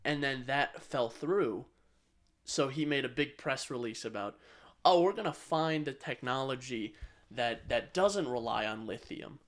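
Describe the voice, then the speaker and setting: A man's voice: medium pitch, lively intonation, a little slow, a bit bright. A man co-hosting a podcast, chatting casually and giving opinions in a blunt, sweary way. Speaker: podcast co-host.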